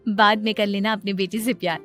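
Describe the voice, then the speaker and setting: A woman's voice: mid-range voice, sharp tone. A woman voicing a young wife and mother in a cartoon story, chiding and teasing her husband.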